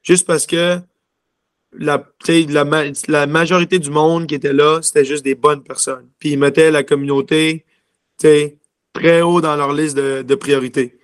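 A man's voice: a little high, little pitch movement, moderate pace, clean tone, no room sound. A young man from Quebec with a Quebec French accent, chatting casually as an interview guest and rambling with lots of filler words. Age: young adult. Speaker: interview guest, junior hockey player.

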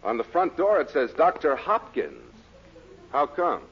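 An actor playing a businesslike, skeptical magazine representative in a 1940s radio sitcom, dryly questioning and incredulous. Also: male voice, a little high, wildly swooping pitch, unhurried, vocal fry, breathy edge, full character voice. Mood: skeptical, puzzled. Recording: muffled old radio recording, faint noise.

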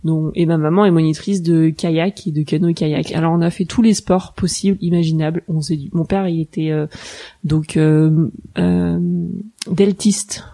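A woman's voice: deep voice, fairly even intonation, moderate pace, warm tone. A woman in her thirties from Haute-Savoie in France, telling her story casually and modestly as an interview guest. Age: 30s. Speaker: interview guest, mountain guide and rescuer.

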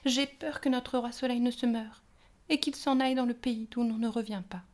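A woman's voice: a little high, fairly even intonation, darkish tone, voice pushed up, natural voice. A woman voicing a gloomy, grumpy little fairy who speaks in a slightly raised, grumbling voice. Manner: sulky, brisk grumbling.

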